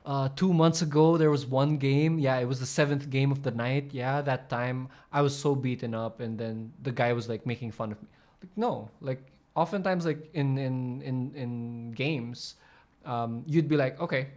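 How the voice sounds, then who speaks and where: A man hosting, talking casually over a video clip, rambling with frequent fillers and restarts as he explains. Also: medium pitch. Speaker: channel host explaining coaching.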